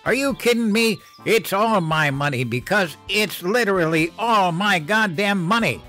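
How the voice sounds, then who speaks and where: A man's voice: lively intonation, normal speed, sharp tone, soft background music. A male narrator voicing an enraged mother who screams and swears at her husband. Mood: shrieking. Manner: shrill, screaming, profane. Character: furious shrieking mother, adult woman.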